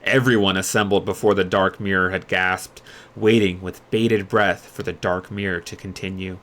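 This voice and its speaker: A man reading a story aloud as a streamer, in an expressive storybook narration style. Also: low voice, normal speed.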